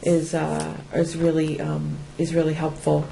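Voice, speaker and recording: Chatty New England woman, lowish voice. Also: completely flat delivery, measured pace, vocal fry, mellow, slight background noise, poor audio. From Massachusetts. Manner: chatty, laughs often, restarts sentences. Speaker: podcast co-host. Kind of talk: casual chat.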